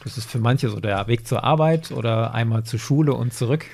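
A man's voice: mid-range voice, brisk, vocal fry, a little mellow, breathy edge. A man hosting a podcast, talking casually and enthusiastically in conversation. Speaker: podcast host.

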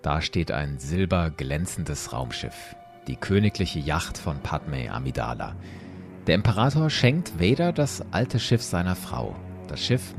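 A man narrating a dramatic story as host, expressive and addressing listeners directly. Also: sits low, vocal fry.

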